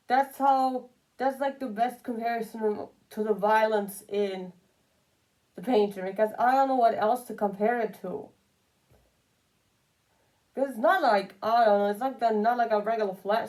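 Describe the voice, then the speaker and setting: A woman's voice: a little high, fairly even intonation, measured pace, long pauses, a little mellow, rough recording. Rambling, emphatic woman with a darker tone. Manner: rambling, emphatic, repeats phrases for stress. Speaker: commentary video creator. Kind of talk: casual unscripted opinion commentary.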